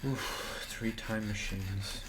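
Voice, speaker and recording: A man from Italy streams a game speedrun, chatting in a relaxed way and laughing easily. He talks slowly in a fairly low voice. A steady noisy background sits under his talk. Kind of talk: casual live-stream commentary.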